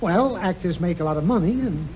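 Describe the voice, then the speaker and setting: A blustering, pompous old boss. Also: male voice, high-pitched, expressive, fairly quick, slight background noise. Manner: boastful, sarcastic, theatrical. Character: blustering pompous middle-aged male boss. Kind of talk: 1950s radio sitcom acting. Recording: muffled old radio broadcast.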